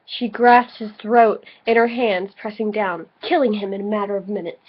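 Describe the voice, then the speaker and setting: A woman's voice: mid-range voice, fairly even intonation, normal speed. A drunk woman reading a story aloud mock-dramatically as an amateur, breaking off for muttered critical asides, on a lo-fi home recording. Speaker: amateur co-reader of a fan story.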